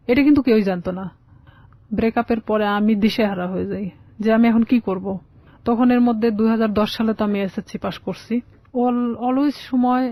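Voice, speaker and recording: Casting: young Bangladeshi Bengali woman, interview guest recounting their life, quick delivery, close dry sound. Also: on the high side, dry recording, muffled recording. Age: young adult. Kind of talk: personal storytelling in a radio interview.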